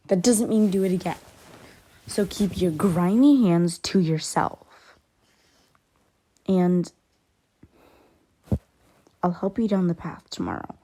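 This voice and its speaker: A woman acts out a young female werewolf in an intimate ASMR roleplay. Her voice is mellow, lowish and breathy, often sinking toward a whisper. She speaks slowly and haltingly, blunt and guarded but grudgingly caring. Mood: flustered, gruff. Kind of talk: ASMR roleplay, intimate one-sided character dialogue.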